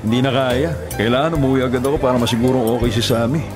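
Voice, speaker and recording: An actor playing a caring, burdened young delivery rider in a Filipino radio drama, with an earnest, conversational delivery and a Filipino accent. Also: male voice, medium pitch, steady tone, very fast, lightly acted. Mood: worried. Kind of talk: naturalistic radio drama acting. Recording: music bed behind the voice.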